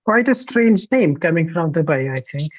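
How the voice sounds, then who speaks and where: A man's voice: on the high side, lively intonation, normal speed, slightly rough, no room sound. A man presenting a course over a video call, talkative, with many fillers and restarts. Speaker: course counselor presenting online. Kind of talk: online sales presentation.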